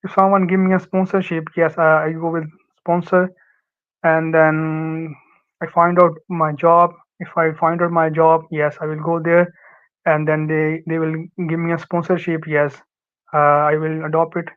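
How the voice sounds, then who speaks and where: A young man from Pakistan with a Pakistani accent, Urdu his first language, giving halting advice as a guest with many fillers and restarts. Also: fairly high pitch, monotone, smooth, phone-quality sound. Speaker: guest, former student. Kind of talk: casual interview advice.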